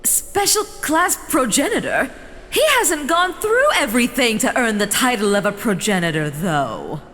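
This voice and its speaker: A woman voicing a gracious but chiding vampire elder in a fantasy audio drama, deliberate and theatrical. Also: fairly high pitch, sing-song, a bit bright, faint music behind. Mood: surprised. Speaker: voice actor. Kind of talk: scripted fantasy character dialogue. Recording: long reverb, faint background noise.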